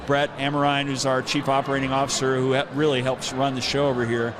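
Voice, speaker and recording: A man's voice: medium pitch, monotone, quick pace. A middle-aged man hosting a podcast interview in a casual, informal, anecdotal way. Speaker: podcast host. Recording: outdoor event with clear crowd din.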